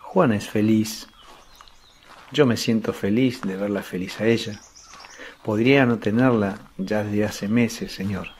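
An actor playing a deferential, grateful husband who serves at a royal court, respectful and earnest, in a religious radio drama. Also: male voice, fairly low pitch, measured pace, darkish tone, a little hiss or hum, lightly acted. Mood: glad, grateful.

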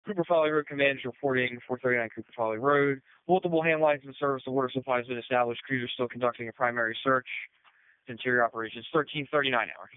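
Fast-talking male dispatcher on narrowband radio. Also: medium pitch, smooth, dry recording. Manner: businesslike, repeats unit numbers back. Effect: two-way radio. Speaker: fire and EMS radio dispatcher. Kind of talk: clipped, procedural radio dispatch. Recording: narrow, tinny emergency radio traffic.

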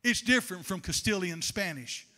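High, bright-voiced older preacher man. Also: lively intonation, moderate pace. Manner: fervent preaching, rising to shouts; addresses congregation as honey. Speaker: pastor. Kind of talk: sermon. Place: church sanctuary at a pulpit. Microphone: handheld microphone.

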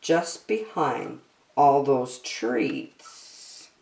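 An older woman hosting story time, reading a picture book aloud to young children with expressive, drawn-out emphasis. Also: a bass voice, creaky, far from the mic, slight background noise. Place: small decorated indoor room. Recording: roomy echo.

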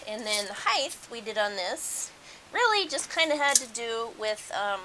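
A woman's voice: a little high, sing-song, a little slow, noisy background. A middle-aged woman giving a casual, conversational how-to demonstration at home, explaining things as she goes. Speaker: DIY host. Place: carpeted room at home.

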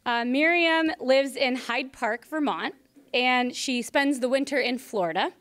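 A woman's voice: high voice, measured pace, fairly bright tone. A woman hosting a public event and introducing a speaker, partly reading, in a meeting room. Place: meeting room indoors with audience.

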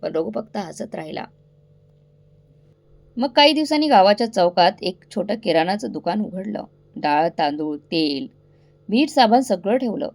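Creaky-voiced woman storyteller. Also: medium pitch, a little mellow. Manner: addresses listeners directly, suspenseful telling. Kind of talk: dramatic scripted story narration.

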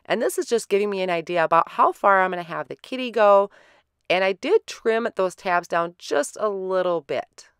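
A woman's voice: medium pitch, moderate pace, smooth, no echo, clean studio sound. Stuffy-nosed woman explaining a craft. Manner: relaxed, step-by-step explaining with fillers. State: stuffy from a head cold. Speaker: card-making tutorial host. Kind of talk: voice-over craft tutorial.

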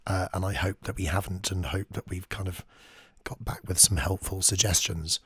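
Hoarse man, voice nearly gone. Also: sits low, fairly even intonation, normal speed, a bit bright, no room sound, studio quality. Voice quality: breathy, half-whispered. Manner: thoughtful, with frequent ums while reading quotes. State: lost voice, very hoarse from chest infection. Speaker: composer and music teacher. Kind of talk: screen-share music lesson. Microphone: very close to the microphone.

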